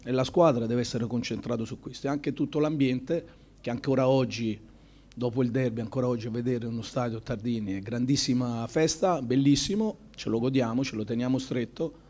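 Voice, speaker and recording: A football coach in his forties speaks at a table microphone in a press room after a match. His voice is mellow and dark, and he answers in a measured, thoughtful way with frequent pauses and fillers. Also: mid-range voice, husky. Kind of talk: post-match press conference. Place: press room in front of a sponsor backdrop.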